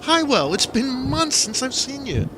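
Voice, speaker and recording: A man voicing a friendly, eager young woman in an audiobook story, his pitch raised well above his own, on a noisy recording. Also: big pitch swings, measured pace, exaggerated voice. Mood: bright, eager.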